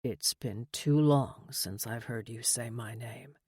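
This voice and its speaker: A man playing a smug, brooding, seductive military love interest in a romance audiobook, with an intimate, low-key delivery. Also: slow, darkish tone, breathy edge, no echo, studio quality. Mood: earnest, brooding.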